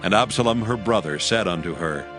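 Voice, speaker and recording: Lowish-voiced solemn male scripture narrator. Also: lively intonation, fairly quick. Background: faint music.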